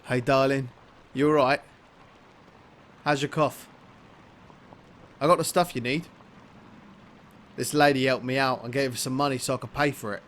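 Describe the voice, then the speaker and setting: A man's voice: medium pitch, steady tone, normal speed, long pauses, a bit bright, slight background noise, close to an everyday voice. An actor playing a poor working father with a sick daughter, hesitant and tender. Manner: hesitant, tender with his child, then desperate.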